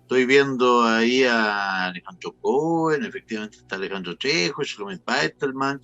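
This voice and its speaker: A man's voice: medium pitch, lively intonation, normal speed, sharp tone, muffled recording. A man with a Chilean accent hosting an interview in a casual, colloquial, chatty way with frequent short questions. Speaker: interview show host.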